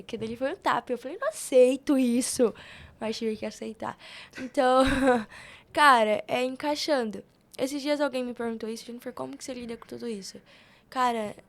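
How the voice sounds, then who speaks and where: A young woman with a Brazilian Portuguese accent, speaking casually and emphatically as a podcast guest, with slangy repetition. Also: on the high side. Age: young adult. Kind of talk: casual, chatty interview answers.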